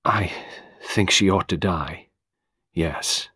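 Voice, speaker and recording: A male narrator takes on an anxious young woman in an audiobook drama. She sounds tormented, with a hoarse edge and a lively, swinging pitch, recorded dry. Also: unhurried, dry recording, full character voice. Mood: steady. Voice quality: deep, hoarse, hushed.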